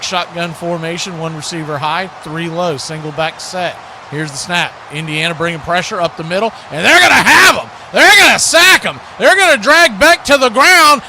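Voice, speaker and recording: A man doing live football play-by-play as an announcer, speaking in clipped phrases that build on big plays. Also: extremely high pitch. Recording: clearly noisy live stream.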